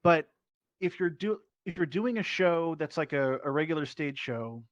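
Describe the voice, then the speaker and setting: A man speaking casually as a performer on a panel, informal and somewhat halting. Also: a little high, expressive, no room sound. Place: virtual reality event, voice over personal microphone. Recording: dull, lo-fi dry sound.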